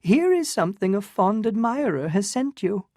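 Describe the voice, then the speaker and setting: A narrator voicing a theatrical older woman who gushes and schemes, in a high, airy, affected delivery. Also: sing-song, normal speed, darkish tone, no room sound, clean studio sound. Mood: coaxing.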